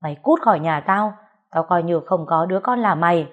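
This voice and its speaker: Woman reads a furious father, loud and bitter, storybook drama. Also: on the low side, brisk, narrow, tinny audio, lightly acted. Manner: shouting, furious. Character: enraged grieving father, middle-aged man.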